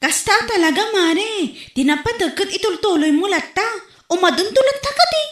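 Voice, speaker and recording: A woman's voice: very high voice, expressive, brisk. An actress playing a chatty, gossiping neighbour woman in a comedy audio drama, speaking with an Ilocano accent from the northern Philippines. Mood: chatty, reluctant. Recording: echoey room.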